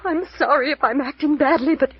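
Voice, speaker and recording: A woman's voice: sits high, brisk. An actress playing a terrified young woman in a vintage radio melodrama, pleading and stammering through tears. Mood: nervous, tearful. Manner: breathless, stammering, tearful pleading. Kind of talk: 1940s radio melodrama acting. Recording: dull old radio recording.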